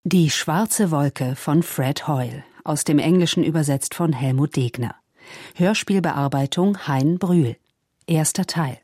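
Low, mellow woman radio announcer. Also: measured pace, slightly rough, no room sound, studio quality. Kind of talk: formal scripted credits reading, 1960s radio.

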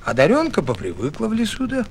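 A man tells a fairy tale in a folksy, theatrical style. His voice is fairly high and extremely varied in melody. The recording has slight noise behind it. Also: huge pitch swings, quick pace. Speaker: storyteller. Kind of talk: expressive narration of a folk tale.

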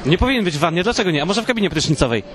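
A man's voice: high-pitched, sing-song, rapid-fire, a crowd in the background. A man hosting a podcast, casually putting questions to passers-by in street interviews and bantering. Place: outdoors on a busy city street. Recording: noisy outdoor street with people around.

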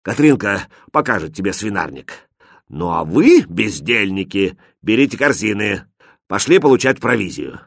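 Storybook head cook, old and stout, thick bass, loud grumbler with a soft heart played by a man. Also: big pitch swings, moderate pace, creaky, dry recording, studio quality, heavily acted. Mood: vexed. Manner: loud, blustering, groaning.

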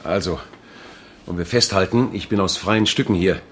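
An actor playing a defensive, righteous political protester in a German radio crime drama, arguing emphatically. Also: male voice, low-pitched, normal speed, a little hiss or hum, lightly acted. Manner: emphatic, argumentative. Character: defensive, righteous male anti-globalization protester.

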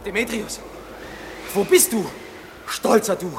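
High-pitched combative young man. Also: measured pace. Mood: challenging. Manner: challenging, theatrical. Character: bold, combative young male lover. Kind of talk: classic German radio play acting. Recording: clearly noisy radio play recording.

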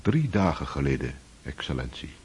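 An actor playing a loyal, deferential old male servant, speaking slowly and humbly. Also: a bass voice, sing-song, a little mellow, slight background noise. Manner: respectful, slow, humble. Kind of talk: radio drama acting.

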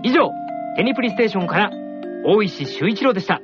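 An actor playing an earnest, polite teenage schoolboy who presents news in a radio drama. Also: male voice, high-pitched, lively intonation, quick pace, highs cut off. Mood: earnest, upbeat. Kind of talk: character announcement in a radio drama. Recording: band-limited radio recording with music behind.

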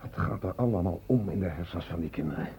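A man's voice: low-pitched, quick pace, natural voice. A man playing a weary, embittered hospital doctor in a Dutch radio drama with a noisy recording. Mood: weary, bitter. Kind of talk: Dutch radio play acting.

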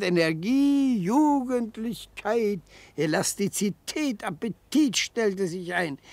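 An actor playing a genial, slyly coaxing old retired defence lawyer in a German radio play, with pompous, florid, old-fashioned phrasing. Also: male voice, a little high. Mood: exuberant. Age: older.

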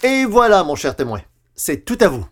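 A man's voice: high voice, big pitch swings, normal speed, slight background noise. A man playing an eager, pushy novice bookshop clerk with glib sales patter, in the style of old radio comedy.

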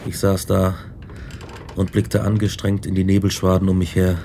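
A guilt-haunted scientist narrates his tale in a radio play. The voice is gravelly and measured with very little melody, grave and brooding. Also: sits low, completely flat delivery, noisy background. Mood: dread, loathing. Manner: grave, brooding, literary delivery. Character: tormented male scientist recounting his guilt and dread. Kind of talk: first-person dramatic narration in a radio play.

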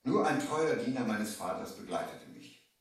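An echoing old vinyl radio play recording, set back from the mic. a young merchant telling his sea adventure in a lowish voice. His storytelling is measured and dramatic. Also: huge pitch swings, gravelly, recorded from a distance, heavily acted. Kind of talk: 1970s German children's radio play narration.

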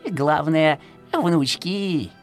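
An actor playing a stooped, folksy old peasant hunter in a children's audio play, grumbling yet kindly and muttering to himself. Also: male voice, fairly high pitch, drawn-out words, soft background music.

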